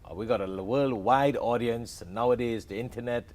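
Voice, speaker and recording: Here is a male talk show host with a mid-range voice, welcoming a guest in a studio. He talks warmly and eagerly, with plenty of friendly back-and-forth. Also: medium pitch, moderate pace. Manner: welcoming, chatty, enthusiastic. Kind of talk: hosted interview. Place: television studio.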